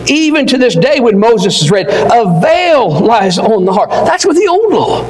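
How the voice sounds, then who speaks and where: Older man preaching a sermon from the pulpit, high and animated, with room echo behind him. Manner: impassioned, rising to near-shouts, dropping low and slow. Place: church auditorium. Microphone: pulpit mic, wide far camera. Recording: echoey hall with long reverb.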